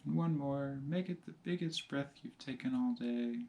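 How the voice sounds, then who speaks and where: A man teaching meditation, giving calm, measured instructions in short phrases with pauses between them. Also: mid-range voice, rough recording. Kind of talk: guided meditation, slow spoken instructions.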